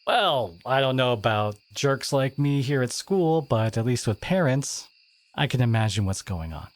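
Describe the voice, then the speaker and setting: A man playing a teenage school bully who is secretly wounded and caring, speaking in a halting, confiding, sardonic way in an intimate audio roleplay. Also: medium pitch, lively intonation, lightly acted. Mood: knowing, sardonic.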